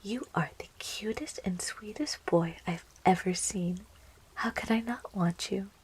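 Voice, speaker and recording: A woman voicing a sweet, clingy yandere plant girl in a soft, coaxing whisper for an ASMR roleplay. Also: medium pitch, breathy edge, low-quality recording. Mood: lovestruck. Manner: coaxing, cooing, giggly, slow.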